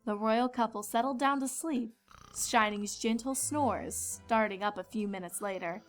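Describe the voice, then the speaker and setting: A woman's voice: a little high, unhurried, soft background music. A woman reading scripted narration as a storyteller.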